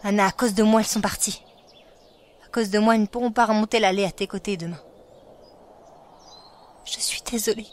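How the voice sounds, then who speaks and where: A woman playing a gentle, reserved, anxious royal elder sister in a fan dub, speaking in a restrained, measured way. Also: mid-range voice, fairly even intonation, slight background noise, lightly acted. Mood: sorrowful. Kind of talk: animated-fantasy fan dub acting in French. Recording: clear music behind the voice.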